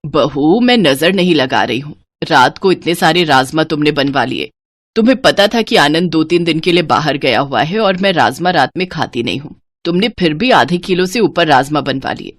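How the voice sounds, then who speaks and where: A woman's voice: low-pitched, animated, normal speed, pitched below the performer's own voice. A woman voicing a patient but scolding older mother-in-law who lectures about waste.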